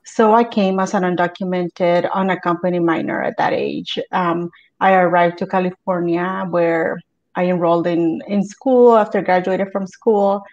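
A middle-aged woman from El Salvador tells her story over a video call. She speaks in a low, flat voice and many ums. The call audio is dull and lo-fi. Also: normal speed. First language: Spanish. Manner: steady, many ums, small restarts. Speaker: guest, community advocate. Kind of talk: telling her life story in an interview. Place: home room with bookshelves.

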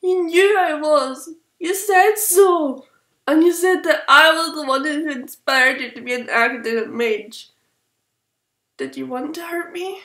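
Woman voicing headstrong girl princess, very high bright voice, dramatic, petulant, roomy echo. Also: unhurried. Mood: hurt, furious. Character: feisty, indignant young princess, girlish and headstrong. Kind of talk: comic fandub acting. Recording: roomy echo, faint music behind.

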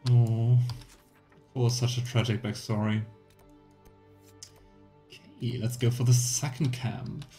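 A man's voice: mid-range voice, level tone, slow, pauses often, warm tone. A man in his thirties giving casual, chatty let's play commentary with a wry, mock-complaining manner. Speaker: game streamer. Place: small room indoors. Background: game music.

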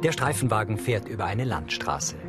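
A man's voice: fairly low pitch, very animated, normal speed, over loud music. A man reading scripted audio description in a neutral, precise manner. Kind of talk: scripted narration.

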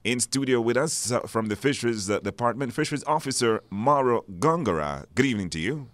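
A man's voice: mid-range voice, expressive, fairly quick, no echo. A man presenting a live radio talk show, hesitating and repeating words for stress. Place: radio studio.

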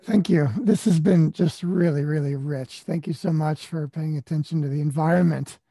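A man's voice: fairly high pitch, steady tone, normal speed, slightly rough, no room sound. A middle-aged man at home on a webcam, enthusiastically sharing photos of places he loves. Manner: enthusiastic, warm about nature. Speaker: workshop participant. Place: small room at home. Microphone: webcam close up.

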